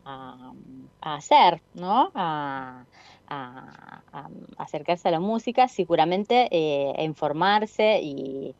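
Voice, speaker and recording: Low-voiced woman with an Italian accent. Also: expressive, slow, darkish tone, no echo, dull audio. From Italy. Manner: hesitant, many fillers and restarts. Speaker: filmmaker guest. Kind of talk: casual interview.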